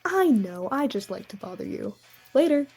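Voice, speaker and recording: A woman plays a mischievous young spellcaster in a fantasy comedy audio drama, cocky and melodramatic. The voice is slightly high, with a mellow tone and a husky rasp. The delivery is teasing and theatrical. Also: very animated, moderate pace, faint music behind, exaggerated voice. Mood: breezy, teasing.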